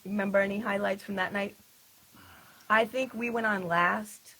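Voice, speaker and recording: A woman's voice: mid-range voice, steady tone, moderate pace, pushed and bright, low-quality recording. A woman in a band chatting casually about past gigs on a livestream, with fillers and laughs. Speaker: band member co-hosting a livestream recap. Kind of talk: casual chat.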